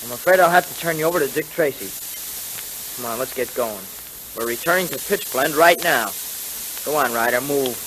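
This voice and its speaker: A man's voice: mid-range voice, brisk, pauses often, bright, forceful tone, close to an everyday voice, rough recording. An actor playing an earnest, law-abiding boy sidekick in a 1930s radio adventure, speaking emphatically. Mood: tough, commanding. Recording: hissy 1930s radio recording.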